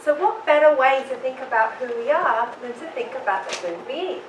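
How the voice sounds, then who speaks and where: A woman's voice: high voice, animated, a little fast, slight background noise. A woman with a London English accent, moderating a panel and chatting with the audience, asking them questions. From England. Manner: chatty, engaging, asks the crowd questions. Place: event room with audience. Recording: roomy hall, voice from some distance.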